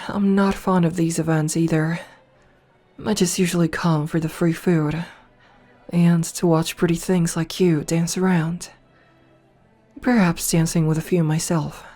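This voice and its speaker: A voice actress playing a dominant, flirtatious noble vampire princess in a soft, slow ASMR roleplay, recorded close to the mic. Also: female voice, low-pitched. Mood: teasing. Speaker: voice actor. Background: faint music.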